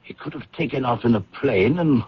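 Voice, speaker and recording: An actor playing an urgent, forceful police inspector in an old-time radio drama, speaking briskly. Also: male voice, medium pitch, lively intonation, lightly acted. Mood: tense, brisk. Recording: dull, very noisy old radio recording.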